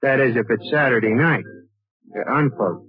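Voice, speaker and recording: An actor playing a chatty, simple-minded bartender with a homespun, earnest comic delivery in 1940s radio. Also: male voice, mid-range voice, normal speed, breathy edge, dry recording, natural voice. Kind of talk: 1940s radio comedy-drama acting. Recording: dull old radio recording.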